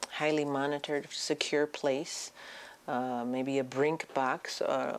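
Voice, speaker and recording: A woman presenting an informal educational medical talk as a doctor, explaining things in a halting way with frequent pauses. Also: extremely low pitch, gravelly.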